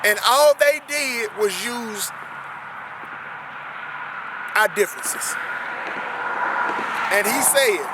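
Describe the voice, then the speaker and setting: A man with a fairly high voice reads a text aloud and breaks it down. He speaks earnestly, repeating phrases to drive them home. He is recorded outdoors on a riverbank, with loud wind and traffic noise around him. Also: very slow, long pauses. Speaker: commentator reading a historical text. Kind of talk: reading aloud and commenting.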